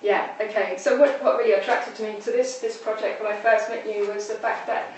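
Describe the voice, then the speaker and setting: A woman's voice: mid-range voice, steady tone, fairly quick. Woman interviewer in a very echoey, distant room. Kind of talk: informal live interview. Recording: echoey, distant live stream, faint noise.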